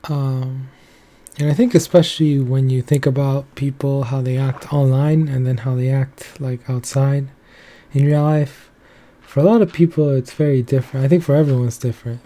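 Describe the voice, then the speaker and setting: Young man, casual solo talk, rambling, filler-heavy, very dark mellow tone, flat delivery. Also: mid-range voice, normal speed. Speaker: commentary channel host.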